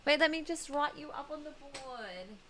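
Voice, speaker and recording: A woman's voice: high voice, lively intonation, normal speed, fairly bright tone, slight background noise. A woman game streamer chatting casually to her viewers as she reacts live to a story.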